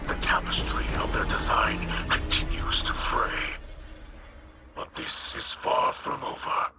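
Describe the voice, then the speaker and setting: A grave alien captain from a video game, speaks slowly and formally. The voice is a breathy, gravelly growl, devout and weary, with music playing underneath. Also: male voice, lowish voice, lively intonation, full character voice. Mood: solemn, reverent. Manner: slow, solemn, formal. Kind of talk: dramatic video game acting.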